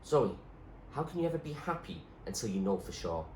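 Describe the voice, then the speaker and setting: A man's voice: lowish voice, very animated, brisk, darkish tone, voice pushed down. A man playing an eager, loyal boy in a storybook reading, coaxing a friend in a matter-of-fact way. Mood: encouraging. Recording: echoey room with clear background noise.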